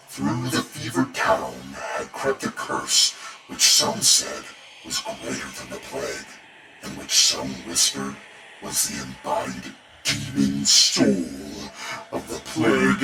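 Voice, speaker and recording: Very deep-voiced man narrating horror, echoey. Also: creaky. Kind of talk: dramatic bedtime horror story narration. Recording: roomy echo, distant, music behind. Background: music bed.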